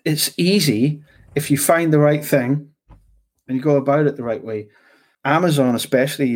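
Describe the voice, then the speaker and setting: A man's voice: on the high side, darkish tone. A man with a Scottish accent who talks loosely in a casual conversation as the host, often asking "do you know what I mean".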